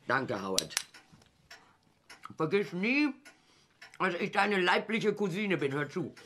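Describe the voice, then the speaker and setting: A woman's voice: fairly low pitch, sing-song, slow, noisy background. An actress playing a domineering older female cousin in a radio comedy play, commanding, scolding and theatrical. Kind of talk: German radio comedy crime play acting.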